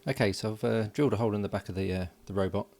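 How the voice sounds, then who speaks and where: A middle-aged man narrating his electronics build at a home workbench, relaxed and filling pauses with ums and uhs. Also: on the low side. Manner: relaxed, thinking aloud with many fillers. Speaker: electronics hobbyist.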